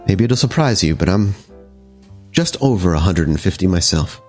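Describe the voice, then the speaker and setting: A composed vampire woman well over a century old, played by a man in a tabletop game. The voice sits low and speaks with formal, measured courtesy. Light music runs underneath. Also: lively intonation, darkish tone. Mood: reserved, warmer.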